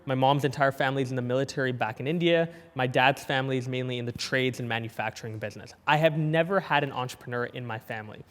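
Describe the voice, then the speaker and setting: A young man with a lisp, talking casually as a guest in an interview, eager and quick with his replies. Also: mid-range voice, steady tone, big-room sound. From Canada. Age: young adult. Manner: quick, eager, frequent "yep" and "yeah" backchannels. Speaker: guest, entrepreneur.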